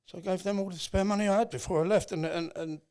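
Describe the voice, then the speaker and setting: An English man speaking as a podcast guest, telling a personal story in an earnest, halting, reflective way. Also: sits high, fairly even intonation, a little mellow, clean tone. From England.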